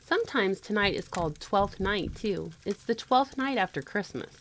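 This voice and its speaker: A woman playing a mother who gently tells a legend to children in an audio drama. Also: mid-range voice, expressive, normal speed, slight background noise. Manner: gentle, explanatory storyteller.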